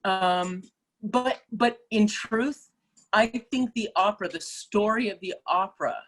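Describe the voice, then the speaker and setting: A middle-aged woman opera singer, speaking as a guest in a relaxed interview, thoughtful and full of small restarts and fillers. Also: medium pitch, measured pace, rough recording. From Malaysia. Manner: thoughtful, many restarts and fillers, laughs easily. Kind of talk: casual interview chat.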